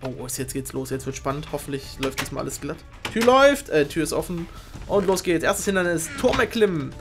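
A young man hosting a game show, giving informal, excitable live commentary on a video game race. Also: on the high side, fairly quick. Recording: music behind the voice.

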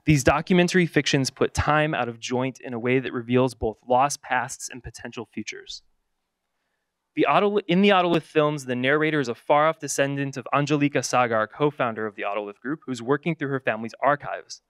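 A man giving a scripted academic conference talk, reading aloud in formal, wordy, measured phrases. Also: medium pitch, a bit bright, clear, resonant tone, no room sound. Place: conference session.

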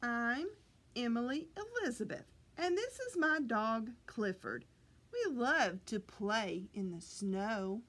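Woman voicing an excitable, high-pitched storybook little girl. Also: takes it slowly, a little hiss or hum. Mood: cheerful. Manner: exclaiming, animated. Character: excitable little girl who adores her puppy.

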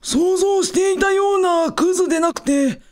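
A woman's voice: very high-pitched, moderate pace, a little mellow. A woman playing a deferential older hotel cook and grateful parent in a radio drama, speaking with humble formality. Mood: grateful, relieved. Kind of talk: scripted radio drama acting.

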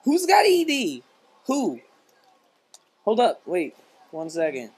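A man's voice: sits high, wildly swooping pitch, slow pace, breathy edge. A young man joking crudely and casually with friends, recorded on a laptop microphone on a couch. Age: young adult. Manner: crude, profane banter. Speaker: home video maker among friends. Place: small lamp-lit basement living room, on a couch. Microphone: laptop's built-in microphone at arm's length.

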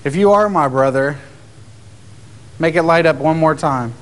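A man investigating a tunnel at night, talking in low, hushed tones and earnestly coaxing spirits to respond. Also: a little slow. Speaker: paranormal investigator. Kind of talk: casual chat, calling out to spirits. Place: dark tunnel outdoors at night.

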